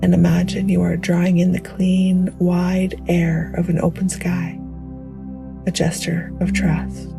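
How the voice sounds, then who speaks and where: A woman guiding a meditation in a gentle, hushed, poetic style, with a soft, breathy voice that often nears a whisper. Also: lowish voice, monotone, over music. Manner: gentle, hushed, unhurried, soothing. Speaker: meditation guide. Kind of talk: slow poetic guided meditation narration.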